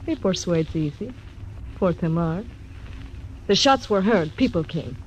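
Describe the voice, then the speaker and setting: A woman's voice: lowish voice, animated, unhurried, a little hiss or hum, low-quality recording. An actress voicing a mysterious, teasing young Mexican woman with a Spanish accent, in 1940s radio acting style. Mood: teasing, fatalistic.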